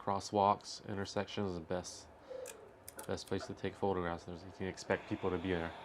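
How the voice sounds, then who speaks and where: A man with a fairly low voice hosts a reaction video. He talks in a casual, conversational way, commenting as he watches. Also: flat delivery, normal speed, noisy background.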